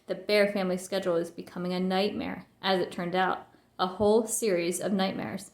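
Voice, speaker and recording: A woman's voice: on the low side, level tone, fairly quick, reverberant. A woman narrating a children's storybook as a scripted read-aloud.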